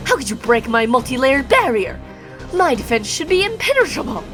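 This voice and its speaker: A woman's voice: sits high, big pitch swings, fairly quick, a bit bright, faint music behind, pitched above the performer's own voice. A woman voicing a haughty, outraged evil sorcerer in a video game, pompous and theatrical. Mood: outraged, incredulous. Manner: pompous, indignant, declamatory. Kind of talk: hammy game dialogue voice-over.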